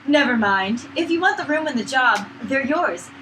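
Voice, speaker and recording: A woman voicing a friendly, brisk young florist and landlady, reading game dialogue aloud. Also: high-pitched, expressive. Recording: distant mic with clear background noise.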